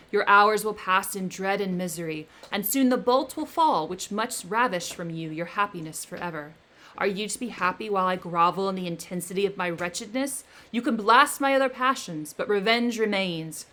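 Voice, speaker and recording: Bitter male monster, lonely, vengeful, loud forceful declaiming, read by a woman. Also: mid-range voice.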